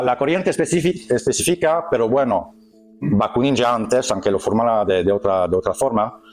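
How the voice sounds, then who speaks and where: A man lecturing a group in a language that is not his first, stopping often to search for words and correct himself. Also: medium pitch. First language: not Spanish. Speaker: workshop speaker. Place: meeting hall with an audience.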